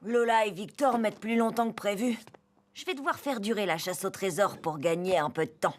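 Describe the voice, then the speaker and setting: A performer voicing a scheming, cheeky young boy who confides in an animated way, in French cartoon dubbing. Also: medium pitch, a little fast, a little hiss or hum, natural voice. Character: scheming young boy, cheeky narrator of his own pranks. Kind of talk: French cartoon dubbing, first-person narration.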